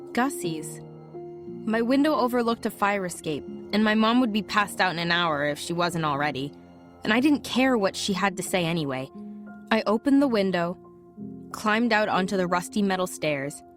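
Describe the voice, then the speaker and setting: A woman's voice: mid-range voice, lightly acted. An actress playing a restless, introspective teenage girl narrating her own story in an audio drama. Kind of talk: scripted first-person audio drama narration. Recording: prominent music under the voice.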